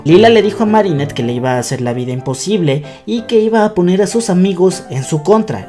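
A man's voice: on the high side, darkish tone. A young adult man giving fan commentary as a YouTuber, talking directly to his viewers. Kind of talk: scripted-feeling fan commentary to camera. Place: small bedroom, indoors. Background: background music.

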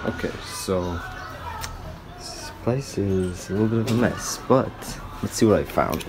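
A man's voice: sits low, measured pace, noisy background. A young man talking casually to the camera in a rambling, slangy vlog style, saying "like" often. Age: young adult. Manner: rambling, loose and slangy, with frequent "like". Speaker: vlogger. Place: parked car, later small store fitting room. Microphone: camera or phone at arm's length.

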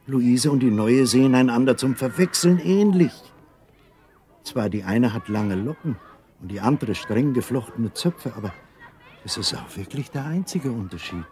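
A man narrating a children's story from a script, expressive and unhurried, with a husky voice. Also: mid-range voice.

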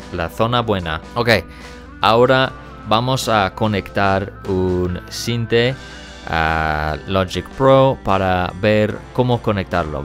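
A young man whose first language is English, teaching a music tutorial in careful, hesitant Spanish with fillers, speaking to a desk microphone in his small home studio. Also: lowish voice. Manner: unhurried, hesitant non-native Spanish with fillers. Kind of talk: casual tutorial to camera. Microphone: desk microphone in front.

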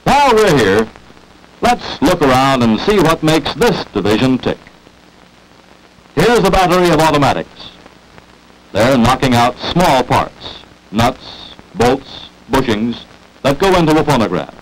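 An American man reading scripted narration for a 1940s promotional industrial film, with emphatic, salesmanlike, deliberate phrasing, on an old film soundtrack. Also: mid-range voice, very animated, low-quality recording.

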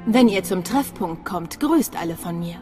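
An actress playing a cool, professional imperial secret agent in a sci-fi game, speaking in a controlled, formal way. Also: female voice, lowish voice, animated, normal speed, mellow, faint music behind, lightly acted. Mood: cool, businesslike. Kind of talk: dramatic sci-fi game dialogue.

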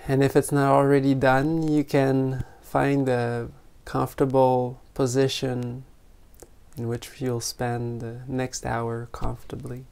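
A meditation teacher of unclear sex guiding a group in gentle, spontaneous talk, unhurried, with long pauses between short phrases. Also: medium pitch, level tone, creaky, clean tone. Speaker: meditation teacher leading an online retreat.

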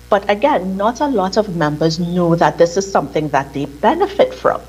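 A middle-aged woman with a Trinidadian accent presents over a video call, her voice somewhat low and husky. She speaks in a measured, careful way, slowing herself down on purpose. The sound comes from a laptop call played into a meeting room. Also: low-quality recording. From Trinidad and Tobago. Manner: measured, deliberately slowed delivery. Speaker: insurance presenter. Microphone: video call on laptop, played through room speakers.

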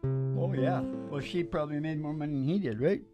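A man's voice: a little high, fairly quick. An older man hosting a music show, chatting casually and in a rambling, opinionated way with crude humor, close on a microphone. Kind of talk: rambling casual chat between songs. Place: small dim curtained room. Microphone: close vocal microphone on a stand. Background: acoustic guitar.

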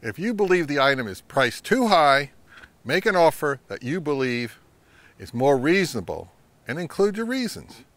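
A man's voice: fairly high pitch, big pitch swings, moderate pace, a bit bright, dry recording. A middle-aged man with a North American accent giving informal, emphatic advice to camera as a channel host. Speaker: vintage audio channel host. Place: outdoors in woodland.

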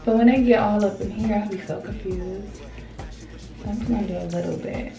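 A woman in her late twenties chats to her viewers as she styles her hair, drawling and a bit gravelly. She is slightly sick. Her voice rings in a roomy home space, a little away from the camera mic, with music under it. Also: mid-range voice, brisk, warm tone. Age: 20s. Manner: chatty, drawling, talks to viewers as y'all while doing her hair. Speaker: vlogger. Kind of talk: casual vlog chat to camera. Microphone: small camera on tripod. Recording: roomy echo, mic at a distance, music behind.

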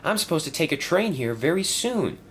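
A man's voice: a little high, very animated, moderate pace, slight background noise, pitched above the performer's own voice. A man voicing an old male steam engine, anxious and earnest, in a raised voice.

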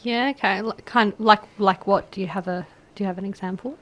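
A woman's voice: medium pitch, steady tone, fairly bright tone. A woman with an Australian accent on a radio chat show, asking questions in a chatty way with many fillers and restarts. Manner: chatty, lots of fillers and restarts, quick to laugh. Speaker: guest interviewer asking questions. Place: community radio studio.

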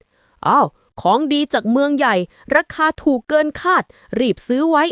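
A woman's voice: sits high, a little slow, fairly bright tone, no echo, studio quality, voice pushed up. A woman voicing a sly, greedy male merchant with wheedling, boastful patter in a storybook tale. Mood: hawking, urgent.

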